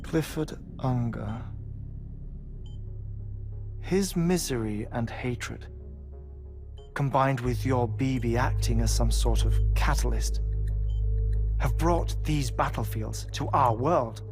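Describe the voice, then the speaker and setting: Brooding scientist, music behind. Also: male voice, mid-range voice, darkish tone. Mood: grave, pondering. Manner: deliberate, theorizing, halting pauses for words. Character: brooding, intellectual middle-aged male scientist obsessed with finding loved ones. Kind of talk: video game cutscene acting.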